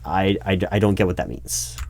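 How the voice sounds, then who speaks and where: A man playing a baffled, exasperated recruiting manager in a one-sided ASMR job interview roleplay, speaking aloud with halting, repeated words. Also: low voice, gravelly, darkish tone. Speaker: roleplay performer.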